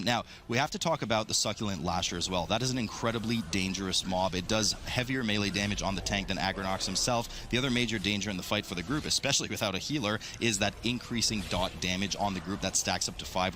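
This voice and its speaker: A man's voice: on the low side, background noise. A man giving live, analytical play-by-play commentary on an esports match, explaining as he goes.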